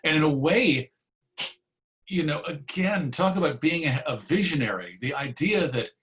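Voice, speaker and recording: A man's voice: on the high side, animated, moderate pace, a bit bright. A man speaking as an interview guest, explaining history in a reflective, discursive and conversational way. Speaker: guest, old-time radio host and historian.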